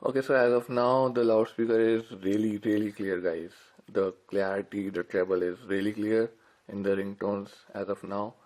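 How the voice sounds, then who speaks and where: A man's voice: on the low side, fairly even intonation, moderate pace, a little mellow. A man giving casual, informal unboxing commentary as a tech reviewer, talking directly to viewers. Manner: informal, addresses viewers as guys.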